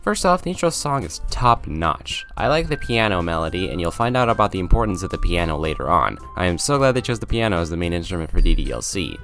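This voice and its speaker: A man's voice: low-pitched, big pitch swings, fast, few pauses, fairly bright tone. A young man reading a scripted video game review, with jokey, self-aware asides. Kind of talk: scripted video essay narration. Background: background music.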